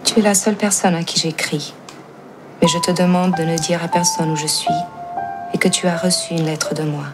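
A woman voicing a letter writer over loud music. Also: lowish voice, fairly even intonation, normal speed, close to an everyday voice. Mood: confiding. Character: woman writing a secret farewell letter. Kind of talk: film voice-over reading a letter. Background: loud film score.

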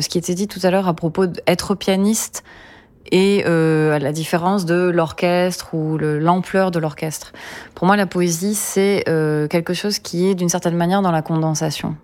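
A woman with a notably low voice. She speaks as a writer guest, thoughtful and precise, with brief pauses on filler words as she builds her answer. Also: a little mellow. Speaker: poet and novelist, guest. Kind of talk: conversational interview answer.

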